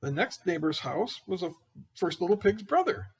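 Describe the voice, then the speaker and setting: Man as gravelly, animated storybook wolf pleading innocence. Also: high voice, moderate pace, heavily acted. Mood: self-justifying. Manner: expressive, incredulous storytelling. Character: aggrieved, folksy wolf pleading his innocence. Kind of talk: children's picture book read aloud.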